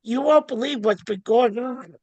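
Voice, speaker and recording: A woman's voice: fairly high pitch, moderate pace, a little mellow, dry recording, muffled recording, lightly acted. A woman at a table read playing a free-spirited young hippie woman, tender but evasive. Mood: warm.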